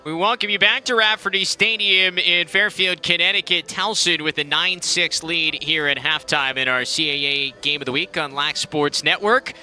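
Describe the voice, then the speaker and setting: A man doing play-by-play commentary on a college lacrosse broadcast, with brisk calls of the action. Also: fairly high pitch, steady tone, sharp tone, faint music behind. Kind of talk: sports commentary.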